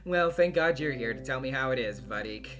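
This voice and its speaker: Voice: medium pitch, animated, brisk, close to an everyday voice. A performer voicing a chipper, airheaded teenage girl in a visual novel, with a breezy, self-deprecating manner. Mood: chipper, forced cheer.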